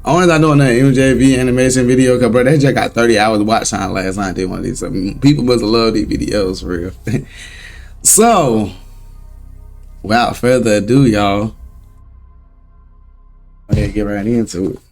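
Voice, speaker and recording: A young man hosting his reaction channel at home, chatting casually to viewers with a slightly raspy, recovering voice and loose slang. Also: lowish voice, normal speed, long pauses, darkish tone, soft background music. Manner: rambling, laid-back slang, chuckles often. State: hoarse, voice recovering. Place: home room. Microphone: desk setup at a laptop.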